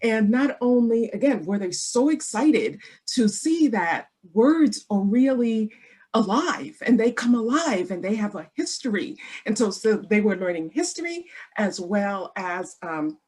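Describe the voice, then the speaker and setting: A woman's voice: medium pitch, very animated, measured pace, darkish tone. A woman, a native Haitian Creole speaker, giving an effusive, chatty comment over a video call. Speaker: former third-grade teacher, meeting attendee.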